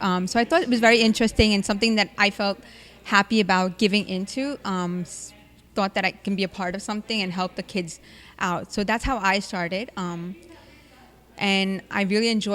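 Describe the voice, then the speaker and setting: A woman volunteer talks about her charity work in a sincere, halting way. She pauses often on fillers as she gathers her thoughts. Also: medium pitch. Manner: sincere, hesitant with many "um"s. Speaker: volunteer co-host. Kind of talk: answering questions on camera.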